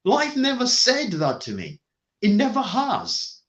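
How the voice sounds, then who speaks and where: Lively, emphatic male motivational speaker. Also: extremely high pitch, a little slow. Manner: emphatic, repeats key phrases. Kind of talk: motivational talk.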